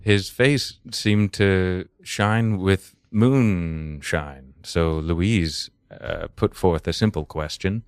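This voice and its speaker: A man narrating an improvised mock-literary comedy story in a solemn, deliberate, halting way. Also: deep voice, clear, resonant tone, dry recording, clean studio sound.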